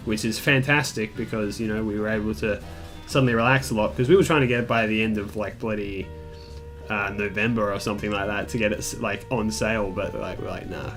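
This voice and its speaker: Young man, mid-range voice, casual storytime chat, candid, rambling, mildly profane, self-deprecating, soft guitar music in the background. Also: mid-range voice. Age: young adult. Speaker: gaming video creator telling a personal story. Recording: music behind the voice. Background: calm guitar music.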